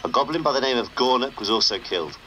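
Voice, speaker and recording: An underground radio presenter for a game. His voice is bright and lively, with dry, sardonic announcing. There is faint noise behind him. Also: medium pitch, fast talker, rough recording. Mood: solemn. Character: wry underground radio show host, a man. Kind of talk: broadcast radio presenting, scripted game dialogue.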